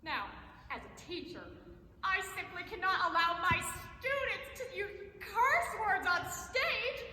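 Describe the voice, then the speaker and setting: Very high, bright, showy infomercial saleswoman played by a woman. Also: expressive, measured pace, exaggerated voice. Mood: gushing, showy. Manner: emphatic, theatrical, showy delivery. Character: gushing, over-polished infomercial saleswoman pitching a product. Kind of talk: stage acting, parody sales pitch. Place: live stage in a large reverberant room. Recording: echoey hall stage recording, background hiss.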